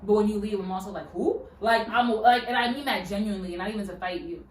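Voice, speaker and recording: Loud direct woman chatting, echoey room, mic kinda far. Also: medium pitch, fast talker. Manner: loud, direct, animated storyteller. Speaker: host. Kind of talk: casual chat.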